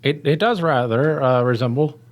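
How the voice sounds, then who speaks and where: A man's voice: medium pitch, normal speed, lightly acted. A man voicing a bewildered male patient who talks in a polite, fussy way in a comedy podcast sketch. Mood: worried.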